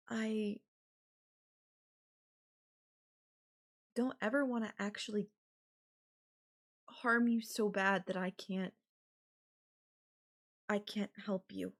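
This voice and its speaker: A woman playing an obsessive, possessive, murderous girlfriend in an ASMR audio roleplay, speaking deliberately with drawn-out phrases and pauses. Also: mid-range voice, gravelly. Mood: pained devotion.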